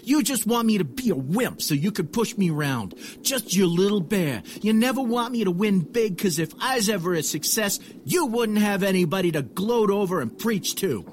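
An actor playing a bitter, gloating younger brother and would-be killer in an audio drama, with streetwise, aggrieved speech. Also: male voice, sits high, expressive, normal speed, few pauses, a little hiss or hum. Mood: resentful.

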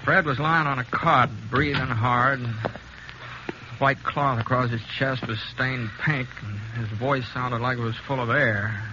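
An actor playing a laconic, steady Old West drifter who tells his tale in a folksy, understated way in 1950s radio drama style. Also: mid-range voice, unhurried, noisy background, natural voice. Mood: understated, narrating. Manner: folksy, understated, plain-spoken. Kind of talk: 1950s Western radio drama acting and first-person narration. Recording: muffled old 1950s radio recording, music behind.

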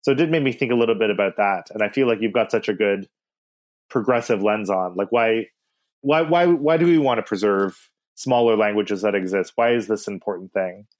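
A man's voice: on the low side, fairly quick. A man co-hosting a podcast, talking through the weekly Torah portion in a thoughtful, rambling way with many restarts. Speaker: podcast co-host.

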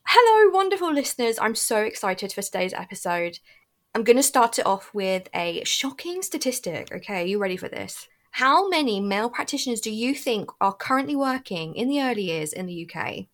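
A woman's voice: a little high, sing-song, brisk. A British woman hosting a podcast, bubbly and enthusiastic as she chats with guests. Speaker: podcast host. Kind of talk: lively podcast chat.

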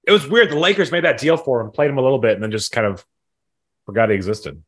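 A man's voice: mid-range voice, animated, fast, a bit bright, husky. A man in his thirties co-hosting a sports podcast in a casual, conversational style. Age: 30s.

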